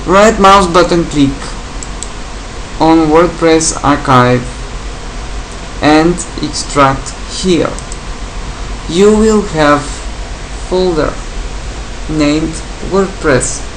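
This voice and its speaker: A man from Bulgaria with a Bulgarian accent, English not his first language, giving a software tutorial in short, plain step-by-step instructions. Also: a little high, drawn-out words, long pauses, a little hiss or hum, poor audio. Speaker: tutorial presenter. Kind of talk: screen-recorded software tutorial.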